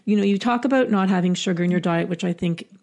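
A woman's voice: on the low side, fast talker, a little mellow. A woman hosting a podcast, interviewing conversationally in an affirming, encouraging manner. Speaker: podcast host.

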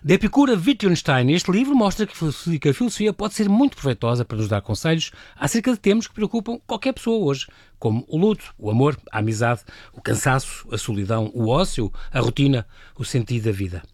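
Lively fast-talking male host. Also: mid-range voice, no echo, clean studio sound. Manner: lively, quick interjections. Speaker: interview host. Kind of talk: casual interview chat.

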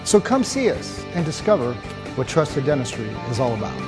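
A man, a dentist, reading his own clinic's ad in a reassuring, measured way. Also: fairly high pitch, big pitch swings, music in the background. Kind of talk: scripted ad read.

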